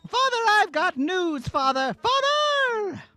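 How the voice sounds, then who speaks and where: A man voicing an earnest, excitable young princess in a children's puppet show, melodramatic and breathlessly exclaiming. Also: very high-pitched, slow pace, pitched above the performer's own voice, full character voice. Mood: alarmed.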